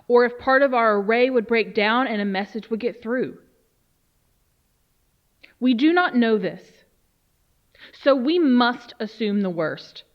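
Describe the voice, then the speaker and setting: A woman playing a grave research official, delivering a solemn, measured dramatic briefing. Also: mid-range voice, muffled recording, natural voice. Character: grave female research official briefing on a doomsday alien threat. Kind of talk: dramatic scripted monologue.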